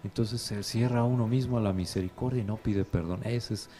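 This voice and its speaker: A priest explaining faith on a talk program, patient and explanatory. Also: male voice, on the low side, fairly even intonation, a little fast, dark tone. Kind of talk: religious talk show.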